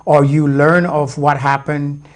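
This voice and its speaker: A man's voice: a little high, little pitch movement. Reflective older man, guest from Jamaica. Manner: reflective, slow and hesitant. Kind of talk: casual interview conversation.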